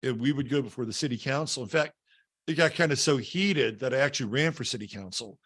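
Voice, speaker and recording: A man with a middle-pitched voice speaks as a podcast host. He tells personal stories in a conversational way, now and then tagging a point with a short question to check for agreement. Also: quick pace, a bit bright, breathy edge.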